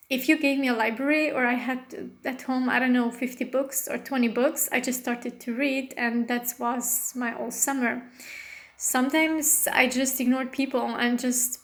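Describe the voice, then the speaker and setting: A woman from Romania talks casually about her own life in non-native English. She speaks in a fairly high, even voice with little rise and fall. She rambles, fills pauses with ums and often breaks off and restarts a word. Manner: rambling, with frequent fillers and self-corrections. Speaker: astrologer. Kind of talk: casual personal monologue.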